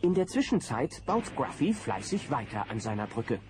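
A man acting as the narrator of a German children's audio drama, telling the story in a lively scripted style. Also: medium pitch, moderate pace, lightly acted. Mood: engaged. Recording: noisy cassette with loud background effects.

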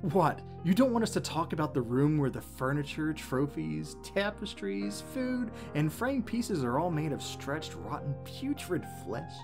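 A man's voice: fairly high pitch, big pitch swings, measured pace, vocal fry, few pauses, a little mellow, soft background music. A man co-hosting a scripted explanatory commentary on a cartoon. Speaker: co-host.

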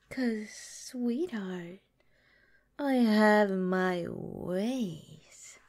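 A woman voicing a possessive yandere nurse girlfriend in an ASMR roleplay, sweetly menacing, coy and breathy, often slipping into a whisper. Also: medium pitch, drawn-out words, long pauses, darkish tone, full character voice. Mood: coaxing, threatening. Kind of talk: ASMR roleplay, soft intimate drawn-out delivery.

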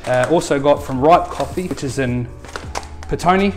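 A man's voice: a little high, normal speed, soft background music. A man in his thirties with a New Zealand accent, chatting casually as he reviews coffee in his home kitchen. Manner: jokey, chatty, playful. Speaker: coffee show host.

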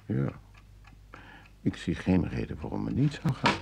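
A man playing an uneasy, evasive homebody husband in a Dutch radio play, speaking haltingly. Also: deep voice, wildly swooping pitch, vocal fry, darkish tone, exaggerated voice. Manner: hesitant, halting. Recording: noisy old radio play recording.

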